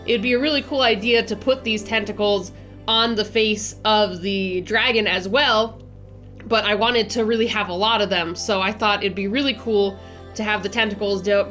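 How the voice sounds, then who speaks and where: A woman's voice: medium pitch, steady tone, moderate pace, a bit bright, soft background music. A woman in her twenties with a nasal, stuffy voice from a head cold, chatting casually and upbeat as she talks through her drawing process, with lots of fillers and restarts. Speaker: art channel host. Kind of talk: casual art vlog narration.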